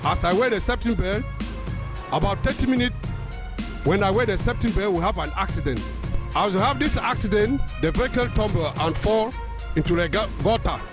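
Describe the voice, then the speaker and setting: A man from Cameroon with a very high voice tells his story as an accident survivor. He speaks haltingly and repeats himself, in roadside interview style. Music plays clearly behind him. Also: expressive. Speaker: accident survivor giving eyewitness account.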